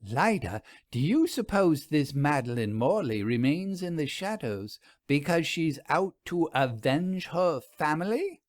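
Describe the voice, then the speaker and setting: A man's voice: a little high, sing-song, a little slow, hardly any pauses, no room sound, studio quality. A man voicing a strong-willed, grudging, inquisitive woman ghost hunter with insistent questions, in an audio storybook. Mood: intrigued, suspicious. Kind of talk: audio storybook character reading.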